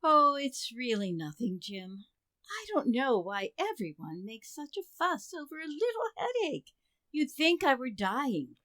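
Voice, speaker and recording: A woman plays a wry housewife and mother in old-time radio comedy. Her voice is fairly high and expressive, taken at a leisurely pace. She is patient, with a dry sarcastic edge. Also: a little slow, dry recording, exaggerated voice.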